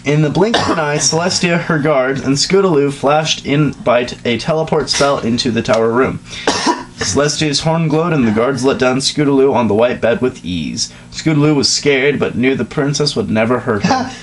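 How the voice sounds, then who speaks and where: On a slightly noisy, humming home recording, a man reads a story aloud in a dramatic amateur style. His voice sits at a middling pitch, and he is giggly and crude, often interrupting himself with casual asides. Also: normal speed. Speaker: reader of a comedic fan fiction reading. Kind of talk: dramatic reading of fan fiction with casual joking asides.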